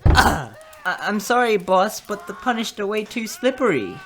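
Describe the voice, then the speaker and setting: A woman's voice: mid-range voice, sing-song, moderate pace. A woman playing a nervous, apologetic demon underling in a comedic audio drama, stammering as she speaks to her boss. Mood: nervous, pleading. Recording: loud noise and clicks.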